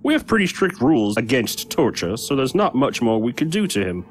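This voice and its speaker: A man playing a measured, mildly resigned prison official in an audio drama. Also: medium pitch, big pitch swings, slight background noise, close to an everyday voice.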